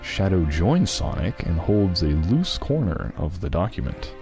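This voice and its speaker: Man, very low voice, lively intonation, story narrator, music behind. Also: measured pace. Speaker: narrator of a fan fiction reading.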